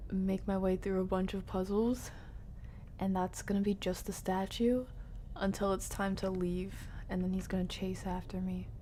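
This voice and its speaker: A woman's voice: mid-range voice, level tone, moderate pace, dark tone, slight background noise. A woman streamer giving casual live gameplay commentary, chatting to herself and her viewers in slangy asides. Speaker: video game streamer.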